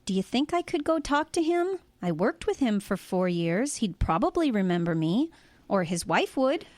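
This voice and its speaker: Actress as musing single mother, inquisitive, lively intonation, radio drama, dry studio sound. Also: medium pitch, moderate pace, no room sound, natural voice. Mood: curious. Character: distracted, curious single mother returned home.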